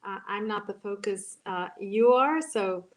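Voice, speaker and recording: A woman, moderating an online panel and talking in a halting way, full of ums and restarts. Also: medium pitch. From Brazil.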